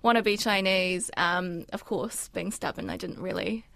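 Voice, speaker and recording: Kiwi woman in her 20s, grew up speaking mandarin, gravelly fry, lots of ums, chatting in an interview. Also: lowish voice, moderate pace, fairly bright tone, no echo. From New Zealand. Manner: thoughtful, frequent ums and likes. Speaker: interview guest. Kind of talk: casual reflective interview talk.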